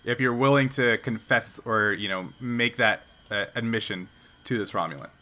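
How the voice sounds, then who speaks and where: A man acting as game master, casually narrating a tabletop roleplaying game in a halting, conversational way. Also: fairly low pitch, bright, forceful tone.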